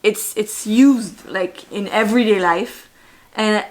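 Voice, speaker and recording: A woman from France with a French accent, chatting casually as a vlogger, bubbly and halting with fillers. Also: medium pitch, rough recording. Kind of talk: casual chat.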